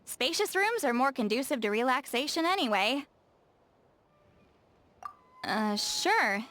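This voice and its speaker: A woman voice acting a touchy, lazy, bookish young-seeming shaman in a video game, expressive and defensive, with hesitant asides and self-corrections. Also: high voice, slow pace, a little hiss or hum, heavily acted. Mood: laid-back, explanatory. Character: touchy, lazy, bookish female shaman, youthful but secretly very old.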